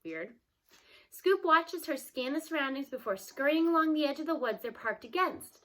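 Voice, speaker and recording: A woman reading a novel aloud, expressive, with lively shifts in tone. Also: high voice, normal speed, poor audio. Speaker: reader.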